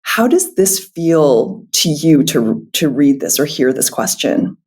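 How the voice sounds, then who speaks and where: Slightly hoarse woman hosting a podcast. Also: sits low, very animated, takes it slowly, a little mellow. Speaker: podcast host. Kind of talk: podcast conversation and scripted intro.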